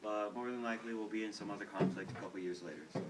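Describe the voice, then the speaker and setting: A teenage boy with a fairly low voice rambles through an informal story, repeating words and leaning on fillers. He is recorded from some distance, with faint background noise. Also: very fast. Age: teens. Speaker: student storyteller. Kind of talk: casual informal talk to classmates.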